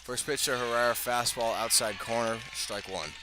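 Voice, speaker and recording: A man's voice: medium pitch, steady tone, normal speed, noisy background. A man doing live radio baseball play-by-play in short, clipped phrases. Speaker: sports play-by-play announcer.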